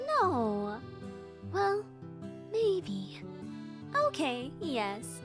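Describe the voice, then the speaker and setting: High, animated young woman. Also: extremely slow, soft background music, heavily acted. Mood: teasing, playful. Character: Valerie, sporty teasing young woman, openhearted but let down. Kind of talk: visual novel game voice acting.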